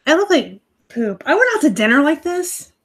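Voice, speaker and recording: A woman's voice: sits high, very animated, moderate pace. Chatty, snarky woman streaming live. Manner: chatty, snarky, laughs often. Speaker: livestream host, online reseller. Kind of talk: casual live-stream chat reading comments. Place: home. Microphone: laptop live stream.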